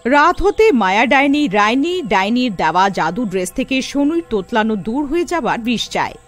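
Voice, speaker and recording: Animated high-pitched woman narrator. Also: moderate pace, faint music behind. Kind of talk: animated scripted storytelling for a cartoon tale.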